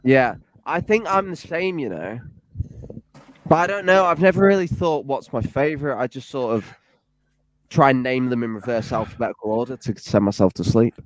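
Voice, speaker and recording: A young man talks in a loose, rambling way, tossing in jokey, self-deprecating asides. His voice sits at an ordinary pitch. The sound is dry and close to the mic. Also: expressive, normal speed, no echo. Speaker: guest. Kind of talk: casual livestream chat.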